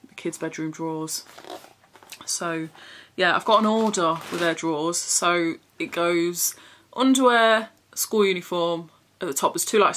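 A woman's voice: lowish voice, fairly bright tone. A young woman in a small bedroom, chatting frankly and casually to camera about her haul, swearing now and then. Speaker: vlogger.

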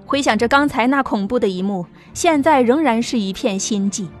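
A woman's voice: on the high side, normal speed. A woman narrating a science fiction audiobook in a scripted, dramatic storytelling style. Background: background music.

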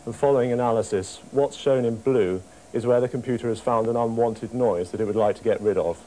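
A man's voice: medium pitch, fairly quick, warm tone, poor audio. A young man talking through a technical demonstration as an engineer. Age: young adult. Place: small office workroom with computers. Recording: faint room noise, TV report.

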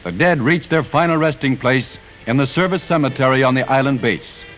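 A man's voice: medium pitch, brisk. An American man reading scripted 1940s newsreel narration in a solemn, declamatory, patriotic manner. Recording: dull vintage newsreel soundtrack with music.

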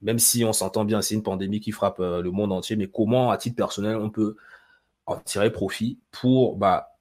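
A man's voice: fairly low pitch, fairly even intonation, normal speed, a little mellow. A man in his twenties hosting a conversational live interview over a headset, wordy and often rephrasing himself. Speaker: host, interviewer. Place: indoor room, video call.

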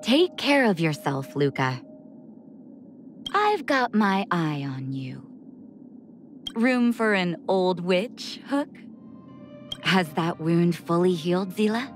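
A woman voicing a calm, caring mature woman with a teasing edge in a video game, soothing and knowing. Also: lowish voice, slow, long pauses, soft background music.

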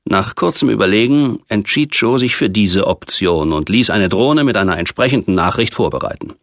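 A man narrating a science fiction novel as an audiobook, reading in a measured, precise literary manner. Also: fairly low pitch, expressive, no room sound, studio quality.

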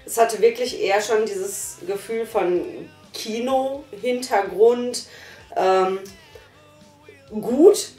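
A woman's voice: fairly high pitch, slow, dark tone. A woman reviewing in a casual couch chat, talking with enthusiasm. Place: small living room, on a couch. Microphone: far camera mic. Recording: roomy, distant mic, faint music.

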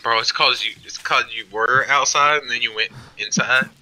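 A man's voice: mid-range voice, steady tone, low-quality recording. A man joking around with friends in a voice chat while playing a game, slipping into silly put-on voices. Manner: goofy, puts on silly voices and slow drawls. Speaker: gamer in a group voice chat. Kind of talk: joking banter among friends.

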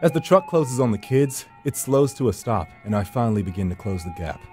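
A man's voice: mid-range voice, sing-song, brisk, mellow, faint music behind. A man reading first-person horror narration for a visual novel in a brooding, theatrical storytelling manner.

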